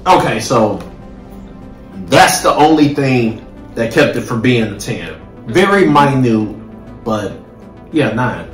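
Animated American man, slangy and emphatic. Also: mid-range voice, slow, long pauses. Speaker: co-host food reviewer. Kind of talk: casual chat, food review. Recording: roomy home recording with faint music.

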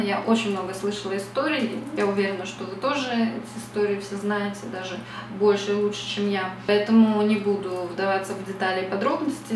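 A woman's voice: mid-range voice, far from the mic. Young russian-born woman chatting to camera, ums a lot, echoey room, faint hiss. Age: young adult. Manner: chatty and reflective, many fillers. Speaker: beauty vlogger. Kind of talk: casual talk to camera. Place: small bright room at home.

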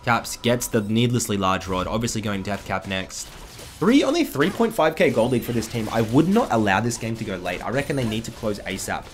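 A young man with a slightly croaky voice, casually commenting on a game replay from his desk, chatty with excitable bursts. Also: lowish voice, fairly quick, a little hiss or hum. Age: young adult. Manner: chatty, excitable bursts over calm analysis. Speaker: gaming commentator. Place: indoor room at a desk. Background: background music.

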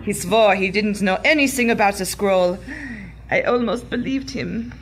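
A woman's voice: medium pitch, normal speed, natural voice, poor audio. An actress playing an imperious, coldly scornful female Nazi agent in an audio drama, commanding and formal in speech. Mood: stern, accusing. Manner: haughty, commanding, formal phrasing.

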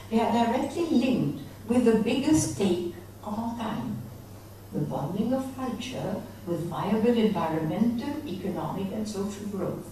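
A woman's voice: medium pitch, mellow, distant mic, a little hiss or hum. Woman reading a paper in an echoey hall. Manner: measured reading with small slips and self-corrections. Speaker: conference speaker reading a presentation. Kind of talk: reading a prepared conference paper. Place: large conference hall, at a lectern. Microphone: lectern microphone.

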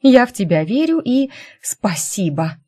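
Casting: bubbly young female skater as a woman narrator plays her, persuasive, emotional, lively swooping intonation, audiobook. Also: medium pitch, measured pace, voice pushed up. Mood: apologetic. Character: bubbly, pleading young twin sister, a figure skater.

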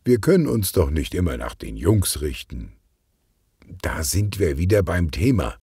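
A man voicing a determined young girl with a firm manner and husky voice in a children's audio story. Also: sits low, very animated, a little slow, mellow, no room sound, studio quality, heavily acted. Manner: firm, decided. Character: determined young girl standing her ground.

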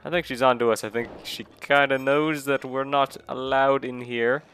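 A man's voice: mid-range voice, flat delivery, moderate pace, fairly bright tone, faint music behind. A man with a nasal voice from a cold, giving rambling, casual gameplay commentary as a let's play host. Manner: rambling, casual, self-deprecating. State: recovering from a cold.

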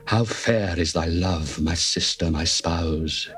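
A man playing a slow, devoted bridegroom. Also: deep voice, a bit bright, slight background noise. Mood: adoring. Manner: slow, measured, reverent delivery. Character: adoring male bridegroom, young adult, tender and devoted. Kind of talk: dramatized scripture reading.

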